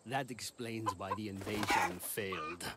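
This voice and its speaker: An actor playing a mocking impostor mercenary, a villain in a video game. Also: male voice, on the low side, slow, over loud music. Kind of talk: video game cutscene acting.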